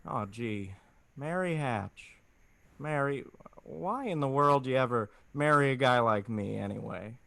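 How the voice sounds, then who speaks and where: A man's voice: mid-range voice, animated, unhurried, creaky, pauses often, fairly bright tone, no echo. A man playing an earnest, flustered small-town family man in a 1940s radio drama, stammering in a folksy way. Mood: dejected.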